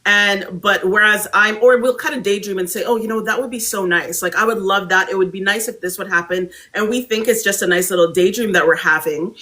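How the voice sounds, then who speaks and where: A young adult woman from Barbados, a guest speaker telling her story in an animated, reflective conversational way. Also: mid-range voice, brisk, hardly any pauses.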